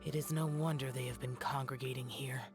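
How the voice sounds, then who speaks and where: A man's voice: medium pitch, steady tone, fairly quick, lightly acted. A man playing a stern aloof guardian. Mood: cold. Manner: formal, curt, solemn. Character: stern, aloof young male guardian spirit. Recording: game audio under background music.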